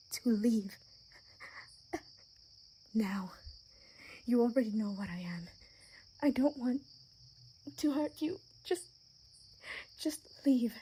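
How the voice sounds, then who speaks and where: A woman acting a gentle, sheltered young vampire daughter in an ASMR roleplay, speaking in a soft, earnest, hesitant way. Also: a little high, rough recording. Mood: urgent, pleading. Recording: faint background noise with chirping crickets.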